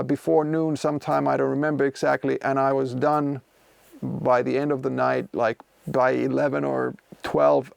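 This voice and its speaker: A man's voice: mid-range voice, gravelly, no echo. A man in his forties from Finland, speaking English with a Finnish accent as his second language, chatting casually and rambling with many fillers while streaming from his music studio. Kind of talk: casual livestream chat, answering viewers.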